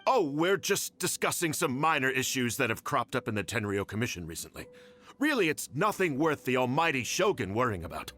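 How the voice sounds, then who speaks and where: A man's voice: mid-range voice, sing-song, a little fast, pushed and bright. A man voicing a scheming clan official in a video game, nervous, stammering and ingratiating. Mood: evasive, fawning. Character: scheming, flustered male clan official, fawning and evasive.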